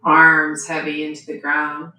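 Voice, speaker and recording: A woman yoga teacher giving gentle, unhurried guided breathing and posture instruction, with pauses between phrases. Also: low-pitched, monotone. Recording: very echoey room, faint background noise.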